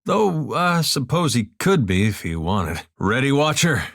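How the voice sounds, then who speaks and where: A man voicing an easygoing farmer turned adventurer in a video game, with a folksy, drawling, deadpan humour. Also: medium pitch, unhurried, creaky, dry recording, clean studio sound, full character voice. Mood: dry, friendly. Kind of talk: video game companion dialogue and barks.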